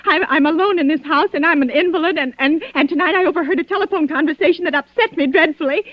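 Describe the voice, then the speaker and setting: An actress playing a nervous, demanding, self-pitying invalid wife who stammers in panic, in a 1940s radio thriller. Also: female voice, high-pitched, fast talker, dry recording, close to an everyday voice. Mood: panicked. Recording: dull, dry vintage radio recording.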